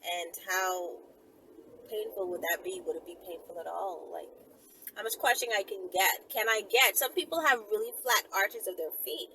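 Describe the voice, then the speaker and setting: A woman's voice: medium pitch, normal speed, pushed and bright. A woman vlogger chatting casually to her viewers from inside a car, informal and digressive as she thinks aloud. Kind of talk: casual rambling chat to viewers. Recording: noisy car interior, clear vehicle noise.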